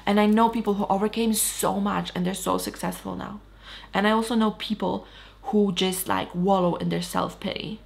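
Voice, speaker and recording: A woman's voice: mid-range voice, creaky. A woman in her thirties from Poland, giving frank, blunt motivational talk straight to camera. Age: 30s. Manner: frank, blunt, swears casually. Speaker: lifestyle vlogger.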